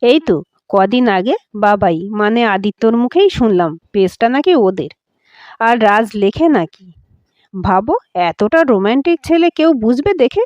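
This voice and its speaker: A woman's voice: on the high side, expressive, unhurried, darkish tone, no echo, highs cut off. A woman voicing a groom's friendly, caring sister in an audio story, with a chatty, familiar way of talking. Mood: admiring.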